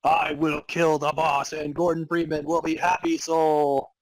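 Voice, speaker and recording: A man's voice: on the high side, flat delivery, a little slow, dry recording, voice pushed up, low-quality recording. Man putting on an earnest, melodramatic action hero. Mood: defiant. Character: earnest, grandly determined male action hero of fan fiction. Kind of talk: amateur dramatic reading.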